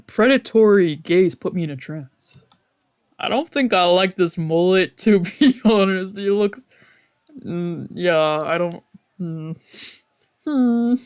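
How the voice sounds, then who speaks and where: A man's voice: high-pitched, clean tone. A man streaming a visual novel, chatting informally and reading aloud, reacting and often correcting himself. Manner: informal, reactive, swearing, trailing off and self-correcting. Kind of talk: casual let's play commentary mixed with read-aloud narration.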